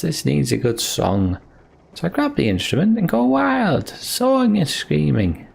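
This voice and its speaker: A rambling old minstrel from a fantasy game, acted out by a man. He talks in a raised, very lively pitch, colloquial and boastful, cheerful despite his years. Faint noise lies behind the voice. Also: vocal fry, full character voice. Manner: colloquial, storytelling, swooping emphasis. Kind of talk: reading video game dialogue in character.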